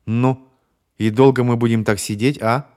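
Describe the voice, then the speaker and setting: A man's voice: medium pitch, fairly even intonation, normal speed, darkish tone, close to an everyday voice. A man voicing a hysterical young woman who screams and swears in panic. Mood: hysterical screaming.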